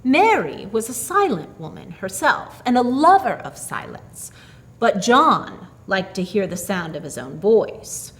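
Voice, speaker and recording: A woman storyteller reading a tale expressively, with dramatic, deliberate phrasing. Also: mid-range voice, very animated.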